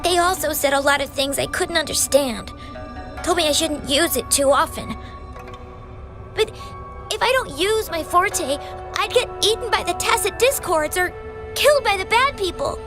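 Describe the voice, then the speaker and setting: A woman voicing an earnest, plucky little girl in an anime game, with halting childlike speech. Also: very high-pitched, over music. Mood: earnest, pleading.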